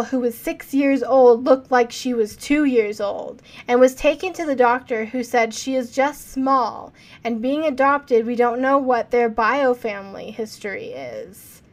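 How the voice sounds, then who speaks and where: A young adult woman co-hosting a casual podcast, reading from reports and asking earnest questions. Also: on the high side. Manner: earnest, questioning, reads quotes aloud. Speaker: podcast co-host.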